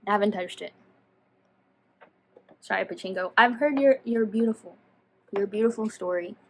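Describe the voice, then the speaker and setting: A woman's voice: medium pitch, normal speed. A woman chatting casually to viewers as a book vlogger, rambling and self-deprecating as she goes off on tangents. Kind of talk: casual chatty video talk.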